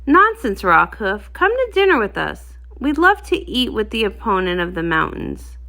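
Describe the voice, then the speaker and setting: A woman's voice: medium pitch, sing-song, normal speed, sharp tone. A woman voicing a jovial pony guard in a storybook, hearty and coaxing.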